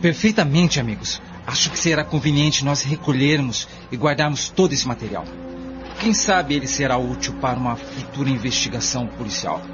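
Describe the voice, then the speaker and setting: A man's voice: medium pitch, lively intonation, a little fast, music in the background, close to an everyday voice. An actor playing an earnest, courteous man who leads a cellar dig in a radio drama, speaking formally with some hesitation. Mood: businesslike. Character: earnest male neighbor leading a torchlit cellar dig. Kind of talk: amateur radio drama acting. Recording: muffled, clearly noisy radio drama recording.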